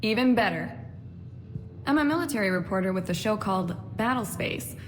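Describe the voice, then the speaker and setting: Game role: self-assured female war reporter, played by a woman, mid pitch, businesslike, long reverb and faint ambience. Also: animated, noisy background, close to an everyday voice. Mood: persuasive. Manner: brisk, persuasive, self-assured. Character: confident, businesslike female military news reporter. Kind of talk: dramatic video game dialogue.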